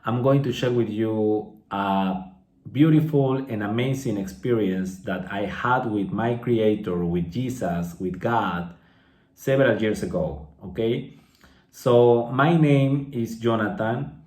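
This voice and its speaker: A man in his thirties with a Peruvian Spanish accent speaks slowly and carefully, rephrasing his words and checking in with "right?". His voice sits a little low. The room has a noticeable echo. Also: darkish tone. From Peru. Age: 30s. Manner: slow and clear, restating phrases with tag questions like okay and right. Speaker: English teacher sharing a testimony. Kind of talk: personal testimony for English learners.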